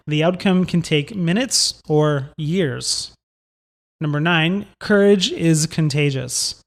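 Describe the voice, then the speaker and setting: A man narrating a scripted book summary in a measured, instructional way. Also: fairly high pitch.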